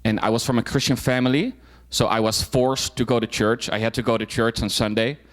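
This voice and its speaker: A man's voice: mid-range voice, steady tone, fairly quick. Man giving a casual club talk. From Netherlands. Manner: informal, frequent fillers and restarts. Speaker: guest speaker. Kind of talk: personal talk to a club audience.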